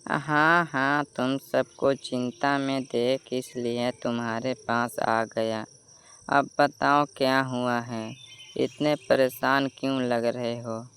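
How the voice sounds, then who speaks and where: A man's voice: medium pitch, flat delivery, takes it slowly, fairly bright tone, clear, resonant tone. A man voicing a scheming yet kindly old male crow, a family friend, in a children's cartoon. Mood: concerned. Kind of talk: Hindi children's cartoon story dubbing.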